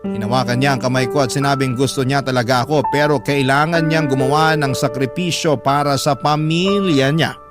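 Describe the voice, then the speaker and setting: A man's voice: medium pitch, fairly even intonation, brisk, natural voice. A man narrating a heartbroken woman's love letter for radio drama, in a sorrowful, emotive storytelling manner. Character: heartbroken woman letter writer confiding her lost love. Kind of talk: dramatic first-person letter reading. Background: loud music.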